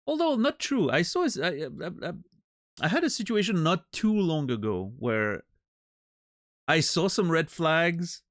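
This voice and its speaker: A man's voice: on the high side, very animated, measured pace, dry recording, clean studio sound. A man streaming and chatting casually with his viewers, joking and rambling with many restarts. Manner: rambling, self-interrupting, joking. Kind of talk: casual chat with live chat.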